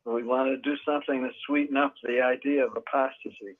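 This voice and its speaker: An older man calling in to a group chat, talking in a folksy, warm and effusive way. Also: mid-range voice, steady tone, fairly quick. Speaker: caller. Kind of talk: casual call-in chat. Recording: muffled call audio.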